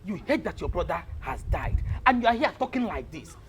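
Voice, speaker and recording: An extremely high-pitched Nigerian man playing an indignant villager in a film drama. His voice swoops widely as he splutters and exclaims. The recording is noisy, with clicks. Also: very animated, fairly quick. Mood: shocked, appalled.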